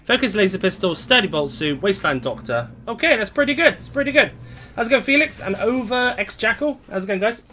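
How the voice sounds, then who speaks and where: A young man with a hoarse, fading voice, chatting casually to viewers as he live-streams a game at home on a desk mic. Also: high voice, lively intonation, brisk, pushed and bright, a little hiss or hum. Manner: chatty, greets viewers, reads chat aloud. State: hoarse, voice going.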